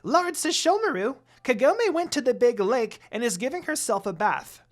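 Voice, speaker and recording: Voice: mid-range voice, sing-song, normal speed, soft background music, voice pushed up, lightly acted. A narrator voicing an eager, servile little male imp retainer in a fan fiction story. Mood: dutiful, reporting. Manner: deferential, exclaiming.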